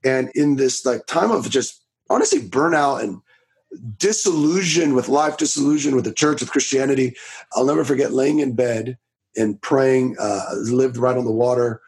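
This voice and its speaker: A man in his thirties from Florida, a preacher telling his story in a casual interview, with a raspy voice, talking loud and fast with many restarts. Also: medium pitch. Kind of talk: casual testimony in a podcast interview.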